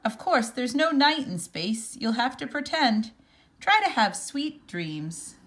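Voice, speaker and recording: A woman reading a children's picture book aloud in a measured, expressive storytelling manner. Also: a little high. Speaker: storybook reader.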